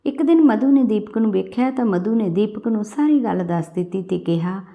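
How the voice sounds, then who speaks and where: A woman's voice: medium pitch, expressive, brisk, creaky, narrow, tinny audio. A woman reading a scripted story as an audiobook-style narrator.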